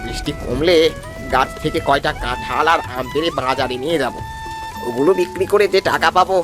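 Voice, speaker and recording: A man voicing a poor, worried but reassuring village father in a folk-tale cartoon, in an expressive, exclaiming way. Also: on the high side, a little fast, over music, natural voice.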